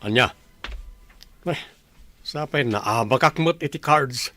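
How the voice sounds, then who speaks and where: An actor playing an irritable gambling husband in an Ilocano radio drama. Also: male voice, medium pitch, slow, pushed and bright, dry recording, faint music behind. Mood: irritated.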